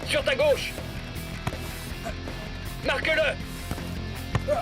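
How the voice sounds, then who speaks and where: A man's voice: very high-pitched, fairly even intonation, drawn-out words, over music, full character voice. A man playing an eager, earnest young football star in a French-dubbed cartoon. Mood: urgent, competitive. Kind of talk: animated series French dub acting.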